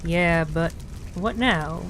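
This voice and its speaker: A let's player giving casual video game commentary, musing out loud and reading game text aloud. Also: medium pitch, takes it slowly. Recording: game music behind the voice.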